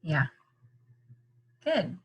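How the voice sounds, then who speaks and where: A woman leading an interactive read-aloud lesson for young children, explaining simply and checking in with repeated questions. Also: extremely slow. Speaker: teacher reading a picture book aloud.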